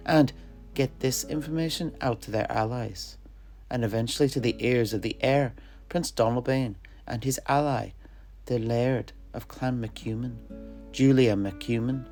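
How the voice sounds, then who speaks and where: A man playing an alchemist storyteller, recounting fantasy legends of his homeland in a theatrical way with dramatic pauses. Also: medium pitch, creaky, darkish tone. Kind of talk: in-character fantasy tale narration.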